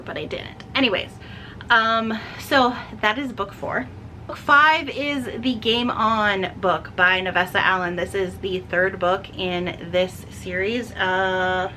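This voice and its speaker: A woman's voice: medium pitch, bright, forceful tone, a little hiss or hum, low-quality recording. A woman giving a casual, chatty book vlog, rambling along and correcting herself as she goes. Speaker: book vlogger.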